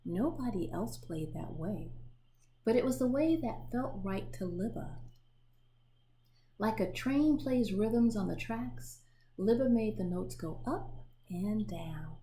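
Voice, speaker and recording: A woman reading a children's picture book aloud in an expressive storytelling manner, pausing to show the pictures. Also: mid-range voice, a little hiss or hum. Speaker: storybook reader.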